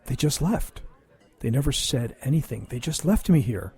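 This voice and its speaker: A man's voice: medium pitch, animated, moderate pace, mellow, slightly rough. A man playing a big, traumatized and resentful younger brother in an audio drama, bitter and wounded in manner. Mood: dazed.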